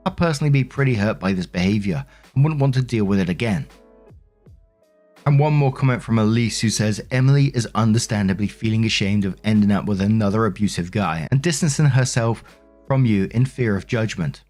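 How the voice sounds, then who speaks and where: A man's voice: mid-range voice, a little mellow. A man reading stories aloud as a host, in a conversational narration style with informal asides. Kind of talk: scripted read-aloud narration with casual commentary.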